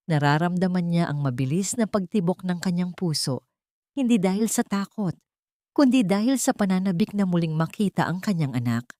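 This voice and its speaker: A woman with a slightly low voice reads a dramatic story as an audio drama narrator. Her delivery is solemn and deliberate, and the recording is close and very dry. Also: warm tone, dry recording.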